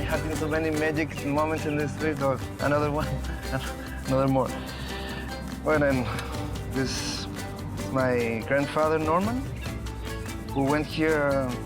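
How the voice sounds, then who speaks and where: A man talking haltingly and personally in a documentary clip. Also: a little high, fairly even intonation, over music.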